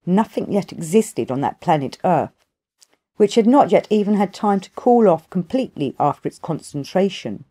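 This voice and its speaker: A woman voicing a wise, ancient grandfather in an audiobook, telling his tale in a formal, measured, long-winded way. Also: on the low side, warm tone.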